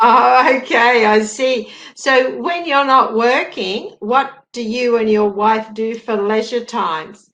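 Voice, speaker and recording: Patient woman tutoring slowly. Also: fairly high pitch, fairly bright tone, poor audio. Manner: patient, rephrases questions. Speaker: English tutor. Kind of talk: online language lesson conversation.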